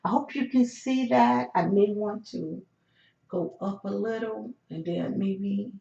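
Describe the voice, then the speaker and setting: A hoarse host explains number charts step by step, with hesitant restarts. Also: mid-range voice, measured pace, warm tone, lo-fi sound. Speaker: lottery number tutorial host. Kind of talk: screen walkthrough of number charts.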